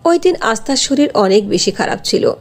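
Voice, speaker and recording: Lively, slightly husky female storyteller. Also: on the high side, normal speed. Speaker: narrator. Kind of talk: scripted storytelling narration for cartoon.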